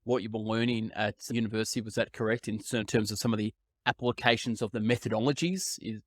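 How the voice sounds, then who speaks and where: A man's voice: fairly low pitch, steady tone, fast talker, fairly bright tone, no echo, clean studio sound. A man hosting a podcast interview, upbeat and asking loose, rambling questions. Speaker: podcast host.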